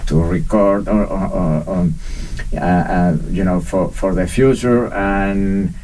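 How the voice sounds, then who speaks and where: A man from Venezuela, a guest in an interview, who answers with many fillers, pauses and restarts. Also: low voice, steady tone, dark tone. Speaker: guest, documentary researcher and professor. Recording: slightly distant mic with faint background noise.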